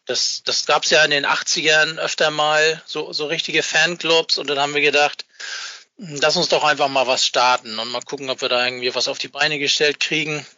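A man's voice: on the high side, little pitch movement, normal speed, pushed and bright, no room sound. A man giving a casual, rambling interview in colloquial language over a lo-fi internet call. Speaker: interview guest, fan club founder. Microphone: Skype call.

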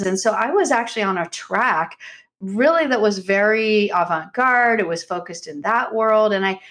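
An animated woman director talks as a podcast guest at a middle pitch. She is effusive and pokes fun at herself. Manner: effusive, animated, self-deprecating. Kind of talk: casual interview.

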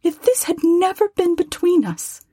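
A woman voicing a young woman who speaks softly and sorrowfully, gentle but firm, close to tears. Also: very high-pitched, big pitch swings, measured pace, no room sound, pitched above the performer's own voice, full character voice. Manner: soft, tearful, pleading.

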